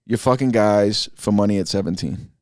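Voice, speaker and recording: A man's voice: fairly low pitch, moderate pace, a little mellow, dry recording, clean studio sound. A man co-hosting a podcast, pressing his guest with blunt, pushy questions. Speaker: podcast co-host. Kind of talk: casual interview chat.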